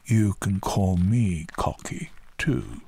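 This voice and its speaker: Friendly rhinoceros for a children's story, played by a man: low, unhurried, drawn-out, placid. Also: vocal fry, mellow, slightly rough, full character voice. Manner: slow, drawn-out, placid delivery. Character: big friendly slow-talking male rhinoceros.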